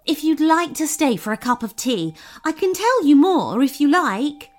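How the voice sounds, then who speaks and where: A woman's voice: sits high, sing-song, normal speed, voice pushed up. A woman voicing a kindly, anxious female goblin with a folksy, polite way of talking, in a storybook tale. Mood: delighted, grateful.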